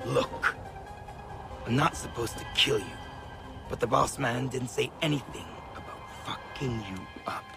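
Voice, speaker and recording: An actor playing a cocky, taunting enforcer who sounds menacing, in a video game cutscene. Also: male voice, mid-range voice, a little slow, gravelly, over music. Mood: taunting menace.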